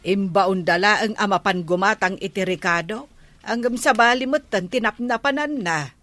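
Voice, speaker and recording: A woman's voice: mid-range voice, normal speed, a bit bright, no echo, soft background music, lightly acted. A woman with an Ilocano accent playing a nagging village wife in a comedy drama.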